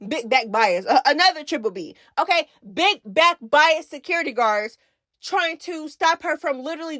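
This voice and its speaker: Woman commentator, high voice, very dry recording, casual and opinionated, emphatic slangy delivery. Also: fairly bright tone, no room sound. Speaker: reality TV recap host.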